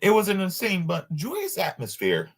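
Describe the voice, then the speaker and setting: A man reading a nonfiction book aloud informally, sometimes halting over words and adding asides. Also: high-pitched, wildly swooping pitch, husky.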